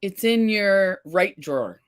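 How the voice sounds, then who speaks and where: A woman's voice: medium pitch, expressive, slow, dry recording. A woman hosting a casual livestream, chatting and reading viewer comments aloud. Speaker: livestream face paint host.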